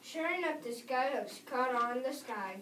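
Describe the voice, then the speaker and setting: A high female voice narrates a story slowly, reading from a script. It is recorded at a distance in a roomy space with noise behind it. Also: animated, pushed and bright. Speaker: reader in a readers theater performance. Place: echoey room. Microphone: distant microphone.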